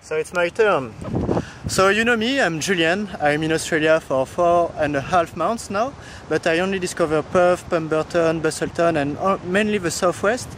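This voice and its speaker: A man hosting a casual travel vlog, introducing himself and his group outdoors. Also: normal speed. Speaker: vlog host. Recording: loud wind and engine rumble.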